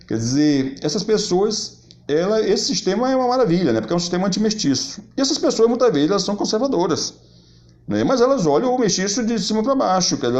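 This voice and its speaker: A man's voice: mid-range voice, darkish tone. Man rambling through political commentary. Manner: rambling, many restarts and "quer dizer". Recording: dull lo-fi recording.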